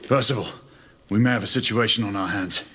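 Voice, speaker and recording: An actor playing a stoic, caring Victorian knight in a video game, with a measured, formal delivery. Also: male voice, lowish voice, noisy background, close to an everyday voice. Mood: businesslike, concerned. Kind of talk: dramatic game cutscene acting.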